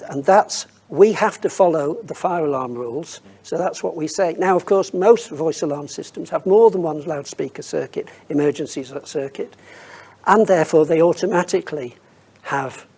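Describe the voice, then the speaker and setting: A man's voice: on the high side, darkish tone, husky. A man speaking as an industry expert on a panel, explaining technical points informally and thinking aloud as he goes.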